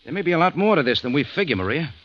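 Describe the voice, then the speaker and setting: A wry, hard-boiled narrator. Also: male voice, on the high side, sing-song, rapid-fire, slight background noise. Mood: laconic. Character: tough, wry man running a cafe, first-person narrator. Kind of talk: 1940s radio acting. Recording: dull old radio recording.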